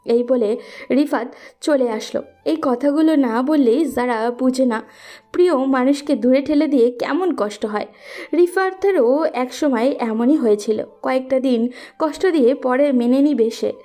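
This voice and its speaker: A woman's voice: high-pitched, warm tone. A woman narrating a scripted romantic story.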